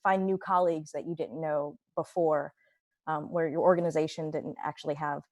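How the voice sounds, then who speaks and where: A woman's voice: sits low, steady tone, moderate pace, darkish tone, dry recording. A woman scientist reflecting thoughtfully as a panelist over a video call, with many fillers and restarts.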